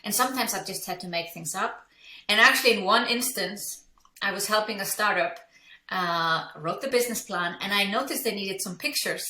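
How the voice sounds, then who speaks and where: A woman's voice: mid-range voice, moderate pace, pushed and bright, reverberant, slight background noise. A woman from Iceland, a business coach and entrepreneur, telling her story candidly in an interview, with frequent fillers and restarts.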